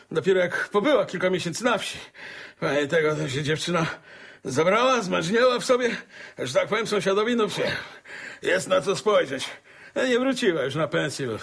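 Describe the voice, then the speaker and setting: Older rural squire, radio drama, folksy and rambling, swooping intonation, crackly husky voice, stammering tics. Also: male voice, a little high, fairly bright tone, exaggerated voice. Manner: rambling, stammering, peppered with verbal tics and chuckles. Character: chatty, folksy older country squire, proud father and husband.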